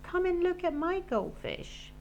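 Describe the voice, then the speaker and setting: A woman's voice: very high voice, very animated, measured pace, creaky, muffled recording, slight background noise, pitched above the performer's own voice, full character voice. A woman voicing an earnest young boy in a children's storybook. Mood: eager. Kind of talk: storybook character voice.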